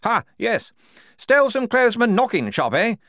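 A man voicing a blustering, hearty young army major for an audiobook, booming and jovial, tacking "eh?" onto his lines. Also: big pitch swings, moderate pace, fairly bright tone, no echo, clean studio sound, heavily acted. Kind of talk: audiobook character voice.

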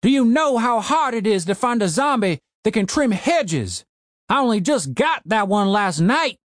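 A man voicing a cranky old rural man who keeps zombie servants, grumbling in a gruff, drawling way. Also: sits high, big pitch swings, normal speed, no room sound, studio quality, voice pushed up. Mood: angry. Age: older.

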